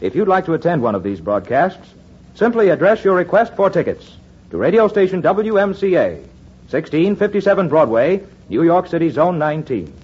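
A man's voice: a little high, sing-song, moderate pace, a little mellow. A man announcing the credits of a radio program in a formal, declamatory broadcast style. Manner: crisp, declamatory credits reading. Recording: dull 1940s radio broadcast recording with music.

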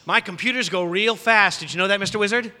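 A man's voice: high-pitched, quick pace, pushed and bright. An actor playing an eager, naive, nerdy boy in comic stage radio theatre, gushing with wide-eyed delivery. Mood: eager wonder. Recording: live theatre hall with long reverb.

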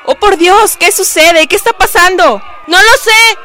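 A woman's voice: very high voice, measured pace, bright, forceful tone, heavily acted. A woman playing a panicked bystander fleeing disaster in a radio drama, crying out frantically. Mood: alarmed panic. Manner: frantic screaming exclamations. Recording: crowd uproar, urban outdoor ambience.

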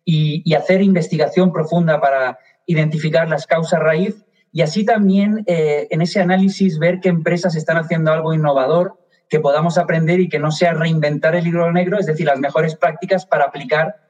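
A man with an accent from Spain speaks over a muffled, lo-fi video call, his voice sitting a little high. He answers in a friendly, lightly joking way, then explains at length. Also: steady tone, fairly quick, darkish tone. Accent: Spanish from Spain. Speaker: guest expert. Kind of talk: interview answers.

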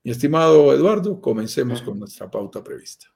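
A man's voice: mid-range voice, big pitch swings, fairly quick, creaky, darkish tone. Colombian man hosting a sales live stream. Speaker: host, sales director of a property firm.

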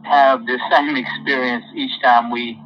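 A man's voice: medium pitch, level tone, unhurried, a little hiss or hum. A man in his fifties giving an upbeat, friendly account of voting. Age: 50s. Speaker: voter interviewee. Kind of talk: recorded testimonial. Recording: slightly muffled recording.

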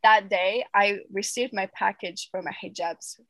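A woman's voice: medium pitch, a little slow, fairly bright tone. A young woman chatting as a podcast co-host. Her talk is loose and full of fillers, with easy laughs, and her voice sits in a middle range. The sound is dry and close, with no room echo. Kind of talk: casual chat.

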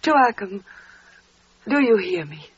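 An actress playing a devout, gentle older expectant mother in 1940s radio drama, with a reverent, measured delivery. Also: mid-range voice, big pitch swings, breathy edge, no room sound, heavily acted. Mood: gentle awe. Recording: dull, dry 1940s radio recording.

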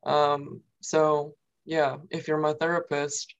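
Young New York woman, gravelly voice, rambling interviewee, slightly dull lo-fi sound. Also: low voice, level tone, slow pace. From New York, USA. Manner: rambling, many fillers and "like". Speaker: guest poet. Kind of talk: casual interview.

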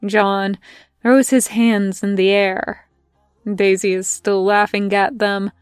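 A woman reading a fan story aloud as an audiobook narrator, in a measured, even way. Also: medium pitch, clean tone, dry recording, studio quality, faint music behind. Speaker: podfic reader.

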